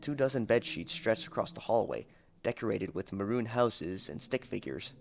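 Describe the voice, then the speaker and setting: A young man with a low, creaky voice narrates an audiobook in a dramatic scripted style. The amateur headset recording carries faint background noise. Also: expressive, moderate pace.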